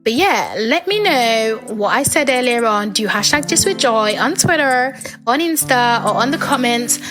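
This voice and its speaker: A young woman, hosting her podcast in a casual, animated solo chat and a little hoarse from talking. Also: normal speed, soft background music. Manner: animated, chatty, acts out quoted lines with big pitch jumps. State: slightly hoarse, losing her voice. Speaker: podcast host.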